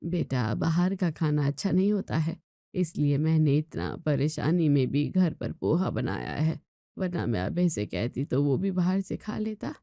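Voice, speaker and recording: A woman voicing a weary, indulgent middle-aged mother in a moral story, speaking in a soft, placating way. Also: low voice, big pitch swings, a little slow, gravelly, no room sound, studio quality, exaggerated voice.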